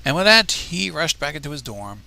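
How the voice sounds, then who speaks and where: A man's voice: fairly high pitch, big pitch swings, fairly quick, vocal fry, sharp tone. A man reading fan fiction aloud on a livestream in a casual way, stumbling over lines and dropping into asides.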